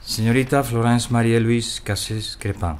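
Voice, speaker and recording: A man's voice: medium pitch, steady tone, a little slow, slight background noise, lightly acted. A man playing a grave, formal court secretary in an audio drama, speaking with official courtesy. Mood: solemn.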